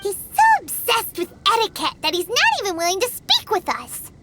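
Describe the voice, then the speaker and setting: A woman voicing a tiny, excitable, childlike fairy companion with a squeaky voice, in anime game style. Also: normal speed, very noisy. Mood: blunt explaining. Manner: speaks of self in third person. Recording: loud music over the voice.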